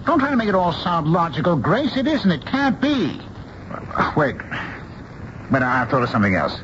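An exasperated, middle-aged American dad in a radio play. His pitch leaps up and down as he insists and stammers. The old broadcast sound is dull with a faint hiss. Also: huge pitch swings, moderate pace. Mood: exasperated, scheming. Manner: insistent, stammering, repeating himself. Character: bossy, exasperated father of a bride, middle-aged man. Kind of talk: radio drama acting.

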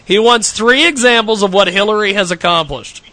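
A man's voice: sits high, normal speed, bright, forceful tone, dry recording. A man hosting a live radio panel, directing the turns and restating questions for the guests. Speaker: talk show host moderating a panel.

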